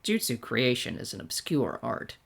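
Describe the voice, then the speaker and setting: A woman voicing a calm, dignified undead warrior lord in a fan fiction reading, speaking in a quiet, measured, terse way. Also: very deep voice, vocal fry, breathy edge, voice pushed down, heavily acted. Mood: thoughtful, terse. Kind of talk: fan fiction character dialogue.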